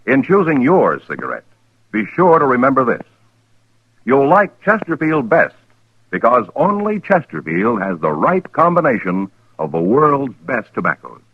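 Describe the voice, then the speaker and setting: A man's voice: mid-range voice, huge pitch swings, normal speed, slightly rough. A man announcing a 1950s radio cigarette commercial with a polished, emphatic sales delivery. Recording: dull, muffled old radio recording.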